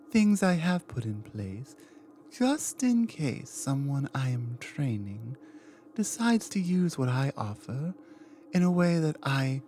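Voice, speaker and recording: An ancient dragon queen, voiced by a woman for an ASMR roleplay, dominant yet protective. She speaks slowly and gravely, her pitch swinging widely from low to high. Her tone stays dark and mellow, slipping now and then into soft whispers. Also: a little hiss or hum, full character voice.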